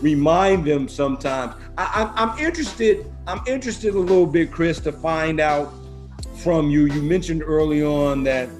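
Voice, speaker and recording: Male podcast host, middle-aged. Somewhat higher-pitched, casual, affirming interviewer who builds questions slowly, with faint music. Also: animated, darkish tone.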